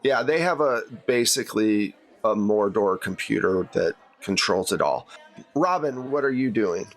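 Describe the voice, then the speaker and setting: A man's voice: lowish voice, animated, unhurried. A man narrating an improvised tabletop game as game master in a conversational, explanatory way.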